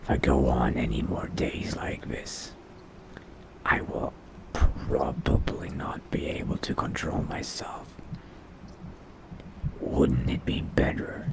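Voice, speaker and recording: Video game vampire mage, played by a man: cold, hungry, brooding. Very slow, whispered, gravelly musing to himself. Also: full character voice. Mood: craving, restrained. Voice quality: low gravelly breathy whisper. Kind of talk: in-character video game roleplay monologue.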